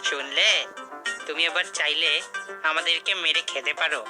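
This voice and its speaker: A woman's voice: deep voice, steady tone, a little slow, over music. A woman voicing a brave, cunning mother goat in a cartoon fairy tale, speaking in a bold, challenging way. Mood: bold bluffing.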